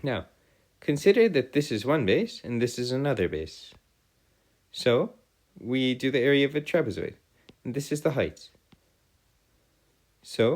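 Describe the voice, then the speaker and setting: A man tutoring a math and physics lesson in formal, old-fashioned wording, often halting and correcting himself. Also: medium pitch, a little mellow.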